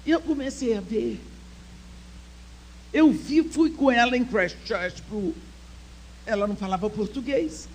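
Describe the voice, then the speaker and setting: A woman's voice: on the high side, unhurried, pauses often. An older woman shares a personal testimony before a women's church gathering, taking her time over each phrase. She lets her voice sink almost to a whisper at tender moments, then presses her listeners with earnest appeals. A faint hum sits under the recording. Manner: heartfelt storytelling, swings between soft near-whispers and emphatic exhortation. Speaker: conference speaker.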